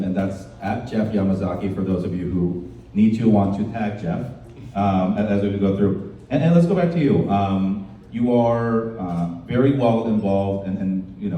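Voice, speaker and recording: A man hosting a live panel discussion in a halting, informal way. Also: sits low, muffled recording. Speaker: event host and panel moderator. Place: indoor department store event. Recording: roomy echoing event space, distant mic, faint noise.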